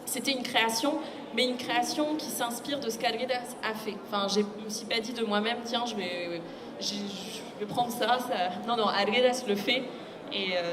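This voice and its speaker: A woman giving thoughtful explanations as a guest translator in a conversational public discussion. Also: mid-range voice. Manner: thoughtful, explanatory, illustrates with quoted asides. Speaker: literary translator, guest speaker. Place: public book fair talk. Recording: echoey hall, loud ambient noise.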